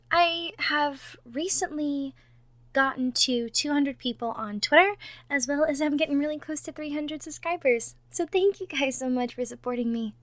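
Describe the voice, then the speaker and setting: A woman's voice: high-pitched, no echo. A woman who makes roleplay audio, giving an informal thank-you announcement to her listeners.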